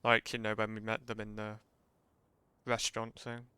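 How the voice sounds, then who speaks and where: A man's voice: lowish voice, monotone, moderate pace, sharp tone, smooth, dry recording. A man giving casual live reaction commentary as a game streamer.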